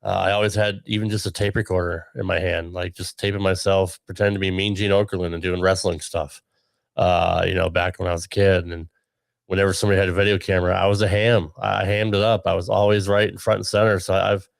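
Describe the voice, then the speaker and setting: A middle-aged man with a low, gravelly radio voice, recorded close and dry. He talks in a relaxed, rambling podcast manner, full of ums and self-corrections. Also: fast, dry recording. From Connecticut, USA. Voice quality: deep radio voice. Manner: relaxed, chatty, many ums and restarts. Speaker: podcast producer and guest. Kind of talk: casual podcast conversation.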